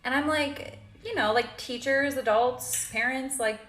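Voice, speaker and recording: A woman telling a story to camera in an animated, emphatic way. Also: high-pitched, unhurried, reverberant.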